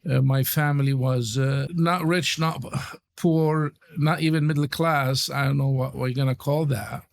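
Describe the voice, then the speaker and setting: Lebanese guy in his 60s, gravelly, lots of uhs and pauses, telling his story. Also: medium pitch, steady tone. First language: Arabic. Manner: halting, many pauses and fillers. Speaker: podcast guest. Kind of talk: interview storytelling.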